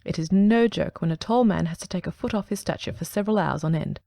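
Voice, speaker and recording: A woman voicing a calm, precise middle-aged male detective who tells his tale in a measured, composed way in a dramatic audiobook reading. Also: on the low side, vocal fry, no echo. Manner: measured, dry, composed storytelling.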